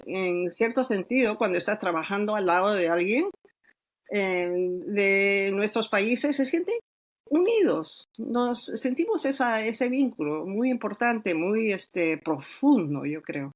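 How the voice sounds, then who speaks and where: Reflective Peruvian woman author, older. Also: mid-range voice. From Peru. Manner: thoughtful, reflective, with frequent hesitations. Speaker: author guest. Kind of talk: podcast interview.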